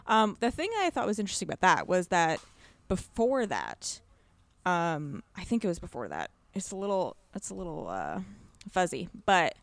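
Animated woman with a creaky voice. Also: on the low side, moderate pace, no echo. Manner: animated, rambling, frequent "like". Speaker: podcast guest discussing a video game. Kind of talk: casual chat.

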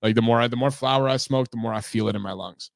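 A man hosting a casual podcast chat, rambling earnestly with lots of fillers and a slightly gravelly voice. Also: mid-range voice, fast talker, no room sound, clean studio sound. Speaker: podcast host.

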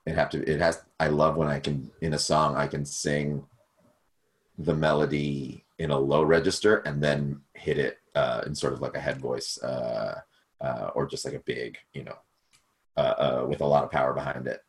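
Young guy, very low voice, loose self-mocking chatter full of ums, on a video call. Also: little pitch movement, moderate pace. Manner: rambling, self-deprecating, many fillers. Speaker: podcast guest, cover singer. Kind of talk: casual chat over video call.

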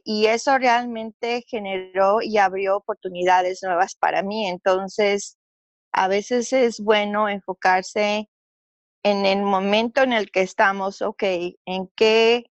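A woman's voice: medium pitch, steady tone, takes it slowly, no echo. Woman, middle-aged, Mexican Spanish accent, earnest, reflective, fillers and restarts, dry recording. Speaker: interview guest. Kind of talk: conversational interview storytelling.

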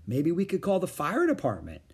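A man voicing an eager, helpful boy full of ideas, in a husky voice. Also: fairly high pitch, huge pitch swings, fairly quick, a little mellow, voice pushed up, heavily acted.

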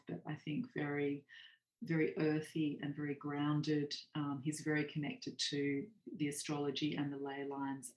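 A guest author talks in a meandering, hedging way, dotting sentences with "sort of" and "um". The delivery stays flat and even in pitch. The voice echoes as if in a bare room. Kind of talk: reflective interview conversation. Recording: roomy echo.

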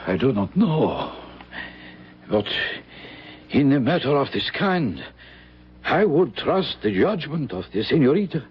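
An actor playing a grave, kindly old village priest who tells dark tales solemnly, in old-time radio drama style. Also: male voice, mid-range voice, big pitch swings, husky, full character voice. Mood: grave, measured. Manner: solemn, deliberate storytelling with dramatic pauses. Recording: dull vintage radio broadcast recording.

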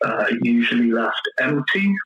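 Bright-voiced English pastor on a muffled call. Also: male voice, medium pitch, lively intonation, a little slow, roomy sound, slight background noise. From east of England. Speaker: pastor, podcast guest. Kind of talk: conversational interview answers.

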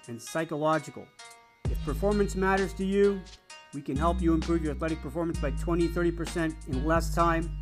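A man hosting a podcast, delivering scripted promotion and casual interview talk. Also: on the high side. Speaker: podcast host.

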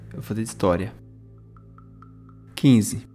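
A man's voice: fairly low pitch, measured pace, mellow, faint music behind. A Brazilian man talking casually as a player in a tabletop roleplaying game.

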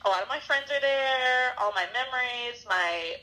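A woman's voice: fairly high pitch, expressive, moderate pace, sharp tone, low-quality recording. A woman from Alabama, chatting casually and reflectively, laughing often. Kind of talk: casual chat.

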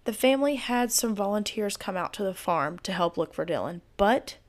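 Woman, hoarse gravelly voice, chatty true crime host with speculative asides, middle pitch. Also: expressive, moderate pace. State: hoarse, voice giving out. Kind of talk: casual storytelling narration.

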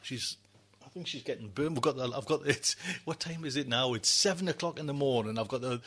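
Bright-voiced man rambling casually. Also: mid-range voice, fairly quick, slightly rough. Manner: conversational, repeats himself, trails off mid-thought. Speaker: podcast host.

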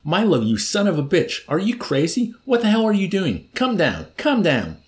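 A man reading an audiobook voicing a panicked male colonel who shouts hysterically. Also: sits high, huge pitch swings, moderate pace, pitched above the performer's own voice. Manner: shouting hysterically at the top of his voice.

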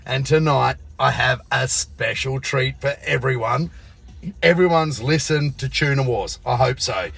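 An Australian man with a gravelly, husky voice hosts a laid-back chat show from a booth. He ribs his guest constantly, cursing and laughing as he steers the talk. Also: medium pitch, unhurried, a bit bright. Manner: joking, teasing, swears freely. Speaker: podcast host. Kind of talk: casual banter with a guest. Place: radio booth.

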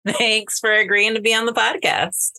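A woman's voice: on the high side, steady tone, moderate pace, sharp tone, no echo, rough recording. A woman co-hosting a podcast, asking a guest friendly questions in a casual chat with some fillers. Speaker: podcast co-host.

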